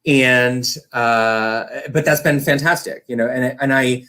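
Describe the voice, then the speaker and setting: A man's voice: medium pitch, steady tone, measured pace, pushed and bright. A man talking informally as an interview guest, enthusiastic and rambling as he explains his work. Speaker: interview guest, community theater director. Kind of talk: casual conversational interview.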